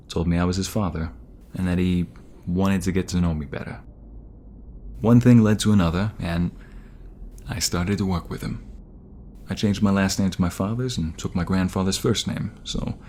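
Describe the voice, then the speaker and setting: A man's voice: low voice, darkish tone, a little hiss or hum, lightly acted. A man playing a young romantic mobster, protective and teasing, in an intimate ASMR audio roleplay.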